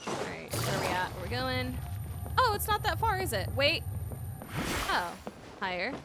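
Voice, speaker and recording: High, animated woman streamer. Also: moderate pace, over loud music. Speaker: streamer reacting to a game. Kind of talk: casual gameplay reaction chat.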